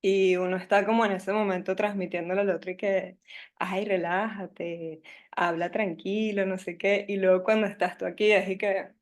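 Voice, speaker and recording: A woman's voice: medium pitch, flat delivery, moderate pace. A young woman from Caracas with a Venezuelan Spanish accent, telling her life story at a workshop in a hesitant, nervous way, full of fillers. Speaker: workshop speaker. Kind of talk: personal storytelling talk.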